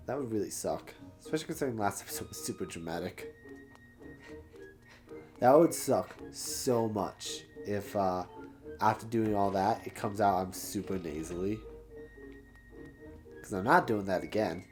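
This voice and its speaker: A man's voice: fairly low pitch, darkish tone. A man hosting a let's play, giving casual, joking commentary as he plays.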